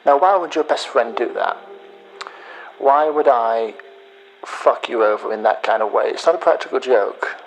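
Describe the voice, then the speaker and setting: A man playing a bitter hacker in a sci-fi audio drama, speaking deliberately with pauses as he accuses a former friend. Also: mid-range voice, slight background noise, lightly acted, rough recording. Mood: confessional, deliberate. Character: bitter male hacker confessing revenge to his betrayed friend.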